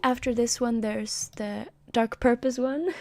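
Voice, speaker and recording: A woman's voice: on the high side, a little slow, darkish tone. Giggly woman chatting on stream. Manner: chatty, giggly, jokey asides. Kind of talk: casual stream chat.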